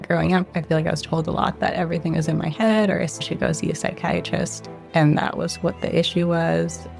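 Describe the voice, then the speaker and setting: Low, gravelly young woman speaking softly. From Israel, raised near Boston. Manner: soft-spoken, hedging with "like" and "I think". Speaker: internal medicine resident, interviewee. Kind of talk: reflective interview answers.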